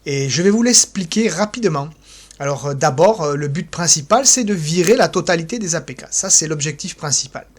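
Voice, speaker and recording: A speaker guides listeners through a phone setup one step at a time. The delivery is hesitant and halting, padded with "alors" and "euh", with small mouthed click noises at each tap. The pitch sits in an ordinary middle range. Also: animated. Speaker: tutorial presenter for an association.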